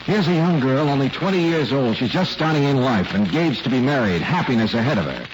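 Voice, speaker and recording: A man's voice: mid-range voice, expressive, fairly quick, muffled recording, background noise, close to an everyday voice. An American man playing an urgent, commanding private eye in a vintage radio drama, on an old recording. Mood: stern, urgent. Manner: insistent, commanding, persuasive. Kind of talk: 1940s radio acting.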